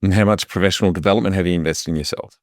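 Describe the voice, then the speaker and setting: A man's voice: low voice, fairly even intonation, rapid-fire, dry recording, studio quality. A man hosting a business podcast, asking brief questions and adding short affirmations. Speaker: podcast host. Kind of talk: interview questions.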